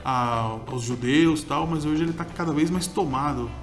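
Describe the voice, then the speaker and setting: A man, chatting casually as a food show co-host. His voice sits a little high, and he often trails off hunting for a name. Soft music plays faintly underneath. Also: moderate pace. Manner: chatty, gropes for names, laughs easily. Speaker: podcast co-host, food reviewer. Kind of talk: casual conversational chat.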